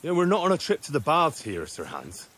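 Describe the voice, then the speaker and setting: A man's voice: on the high side, wildly swooping pitch, a little fast, a bit bright, a little hiss or hum. A man playing an earnest, sensible young squire in a video game, speaking in a wry, easygoing way. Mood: wry, cautious.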